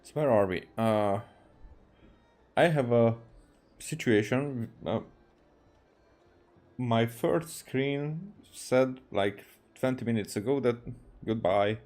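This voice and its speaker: A man from Hungary with a Hungarian accent, casually streaming a game and talking haltingly with many fillers and restarts. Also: mid-range voice, darkish tone. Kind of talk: casual livestream commentary, reading game dialogue aloud.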